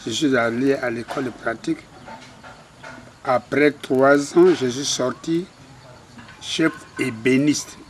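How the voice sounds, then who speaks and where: An older man with a Congolese French accent recounting his life in an interview, halting and modest. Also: medium pitch, low-quality recording. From Republic of the Congo. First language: a Congolese language. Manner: slow, halting, self-deprecating. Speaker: interviewee, retired cabinetmaker. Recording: faint background noise.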